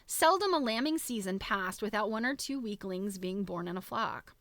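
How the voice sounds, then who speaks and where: A woman hosting a live book reading, reading aloud with expressive, giggly, chatty asides. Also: medium pitch, normal speed, dry recording. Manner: giggly, reacts expressively to what is read.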